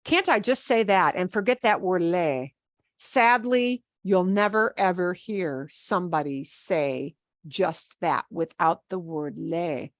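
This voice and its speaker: Slow, patient Midwestern woman teacher. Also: lowish voice, dry recording, studio quality. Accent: American Midwestern. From American Midwest. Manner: patient, explains slowly with restarts and fillers. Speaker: Spanish teacher. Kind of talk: online class lesson.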